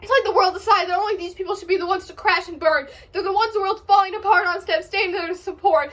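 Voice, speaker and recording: Teenage girl for spoken-word slam poetry: very high, bright voice, impassioned and declamatory. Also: fairly even intonation, quick pace. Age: teenager, about 14. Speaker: amateur slam poet. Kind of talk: slam poetry recital, rhythmic and rhyming.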